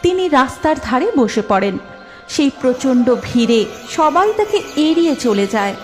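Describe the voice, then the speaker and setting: A woman narrating a cartoon story in an even storytelling manner. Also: high voice, moderate pace, soft background music.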